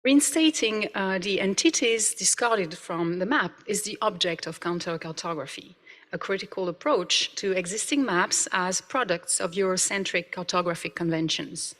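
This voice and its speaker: A woman's voice: medium pitch, a bit bright. Woman giving a conference talk, lots of uhs, hall echo behind her. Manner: careful reading with frequent uh fillers. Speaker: conference speaker. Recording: reverberant hall.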